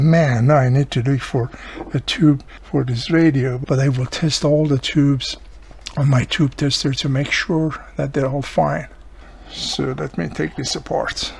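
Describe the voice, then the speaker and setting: A man's voice: mid-range voice, gravelly, a little mellow, slightly rough. Chatty man thinking aloud through a tutorial. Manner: chatty, thinking aloud, often addressing the viewer. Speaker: host of a radio repair tutorial channel. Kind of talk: casual hands-on tutorial narration.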